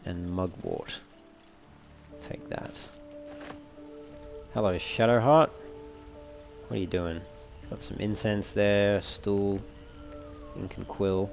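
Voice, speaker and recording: A man with a low voice and a creaky, fry-edged delivery commentates on a game. He talks casually, as a let's play host does, over faint background music. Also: fairly even intonation, slow, long pauses. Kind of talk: casual gameplay commentary, reading item text aloud.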